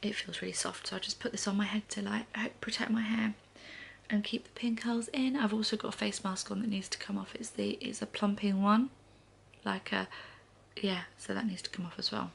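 A woman in her thirties chatting to camera in a soft, often half-whispered way on a living room sofa, a little congested. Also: medium pitch, steady tone, fairly quick, creaky, slight background noise. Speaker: vlogger. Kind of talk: casual morning chat to camera.

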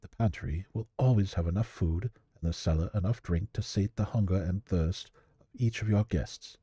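A man's voice: low-pitched, moderate pace, warm tone, breathy edge, no echo. A man playing a weary, kindly old tavern keeper, reading his letter in a solemn, formal, reassuring way for a fantasy audio roleplay. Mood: measured, instructive.

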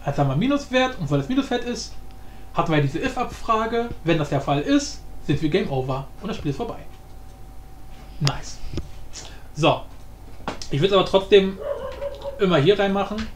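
A man with a notably high voice talks through a tutorial in an informal, think-aloud way. He sounds like he is in a reverberant room, with some light noise behind him. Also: lively intonation, moderate pace, pauses often. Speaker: tutorial host. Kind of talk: casual screen-recorded tutorial commentary. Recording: roomy echo with background noise.

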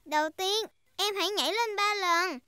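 A woman's voice: extremely high pitch, normal speed, very noisy. A woman voicing an eager, bossy little girl pig in a children's cartoon dub, explaining things like a big sister. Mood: bossy, instructing. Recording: music behind the voice.

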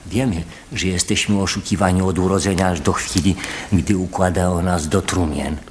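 An actor playing an earnest, worried villager in a chorus, declaiming solemnly in a radio drama. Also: male voice, low-pitched, fairly even intonation, moderate pace, a little hiss or hum, close to an everyday voice. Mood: worried, questioning. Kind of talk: theatrical radio drama acting.

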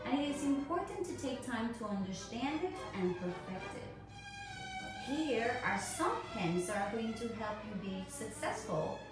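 A middle-aged woman, a ballet teacher, giving a prepared educational lecture in deliberate phrases with frequent pauses. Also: on the high side, big pitch swings. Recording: echoey room, distant mic, music behind.

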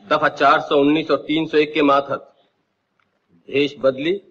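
An actor playing a police inspector who questions a witness and makes a formal arrest, in an old film drama. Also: male voice, on the high side, level tone, measured pace, a bit bright. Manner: formal, official. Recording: dull old film soundtrack.

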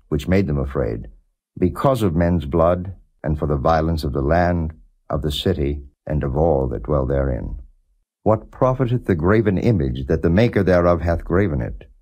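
A man giving a non-dramatized scripture reading as a narrator, in a measured, solemn and formal way. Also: sits low, dark tone. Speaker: Bible reader, audiobook narrator.

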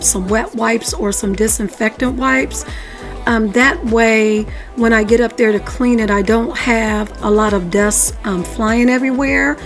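Chatty woman explaining her cleaning. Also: a little high. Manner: chatty, explains her steps with frequent ums. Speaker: cleaning vlogger. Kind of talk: casual voice-over narration of cleaning. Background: faint background music.

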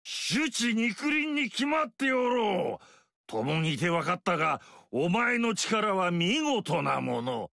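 A lecherous, greedy old tyrant for a video game, leering and boisterous. His voice is gravelly and high-pitched for a man, swinging wildly up and down. It sits dry and close in the studio. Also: very animated, takes it slowly, a bit bright, no room sound, full character voice. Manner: leering, boisterous, booming laughs.

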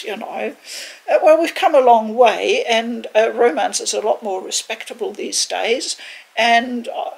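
An older woman, in her sixties or beyond, giving a measured, reflective video review in a conversational way, choosing her words carefully. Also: mid-range voice, gravelly, husky, low-quality recording. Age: 60s or older. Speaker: game reviewer, video host. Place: living room, indoors.

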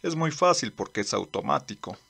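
A man voicing a gruff, practical older desert traveller in a manga dub, gravelly and matter-of-fact. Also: mid-range voice, expressive, a little fast, a bit bright, full character voice. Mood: instructive. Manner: firm, matter-of-fact, instructing. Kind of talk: amateur manga dub acting.

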